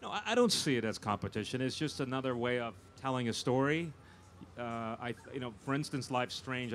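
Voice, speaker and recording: A man's voice: medium pitch, fairly bright tone. A man with a mid-range voice speaks as a producer guest in a panel interview. He is emphatic and conversational, doubling back on words to press a point. Light event noise sits behind him. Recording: live event floor with background noise.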